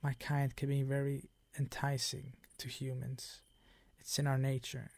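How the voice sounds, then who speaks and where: Older vampire count played by a male actor for ASMR roleplay: suave, possessive, unhurried, condescending, with breathy menace and a close, dry sound. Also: mid-range voice, monotone, a little mellow, dry recording. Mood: coaxing, possessive. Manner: slow, ornate, condescending seductive purr.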